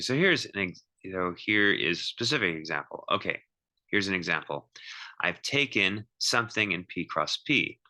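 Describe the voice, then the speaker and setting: A man giving an informal, step-by-step math lecture as a teacher, thinking aloud in a halting way and repeating himself. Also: low-pitched, sharp tone.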